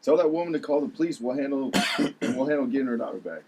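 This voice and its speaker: A man's voice: mid-range voice, animated, quick pace, background noise, close to an everyday voice. A man at a table read playing a reluctant policeman who sticks to the rules. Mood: firm, reluctant. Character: gruff by-the-book policeman, wounded over a rejected crush.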